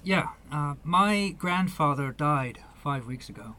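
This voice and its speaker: A man playing an awkward, polite visitor in a radio play, speaking in a hesitant, halting, apologetic way. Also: fairly high pitch, animated, sharp tone, heavily acted. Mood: halting, sombre. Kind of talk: naturalistic radio play acting.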